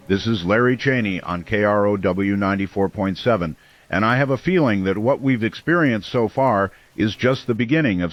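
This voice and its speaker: A man playing a somber small-town radio host, giving measured, cautionary warnings in a horror audio drama, with a dull lo-fi sound. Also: fairly low pitch, natural voice.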